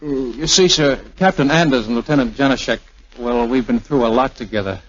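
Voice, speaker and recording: A man's voice: medium pitch, a little fast, close to an everyday voice. Man acting breezy young flyboy major, old dull recording. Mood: earnest, respectful. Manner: smooth-talking, wry, joking. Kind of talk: 1940s radio drama acting. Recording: dull, hissy 1940s radio recording.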